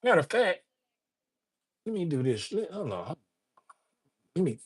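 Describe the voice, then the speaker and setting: A man's voice: fairly high pitch, sing-song, a little slow, husky. An older man hosting a casual livestream, rambling and joking as he greets viewers by name. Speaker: livestream host. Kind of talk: casual livestream chat reading viewer comments.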